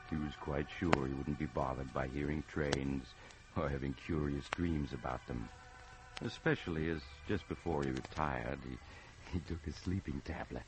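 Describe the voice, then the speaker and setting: An actor playing a mysterious storyteller, narrating a 1950s radio mystery in a deliberate, suspenseful manner. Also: male voice, a bass voice. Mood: ominous, wry. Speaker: host and narrator. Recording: muffled vintage radio recording.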